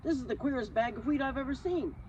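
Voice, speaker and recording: A woman's voice: sits high, fast, a little mellow, dull audio, a little hiss or hum, voice pushed up, natural voice. A woman voicing an emphatic, exclamatory male sailor from a children's storybook. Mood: astonished.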